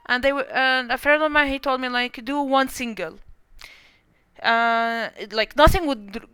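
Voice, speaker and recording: A woman's voice: high voice, measured pace, bright, forceful tone, clear, resonant tone, no room sound. A young woman in her twenties streaming a game, chatting casually and giggling while reading chat. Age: 20s.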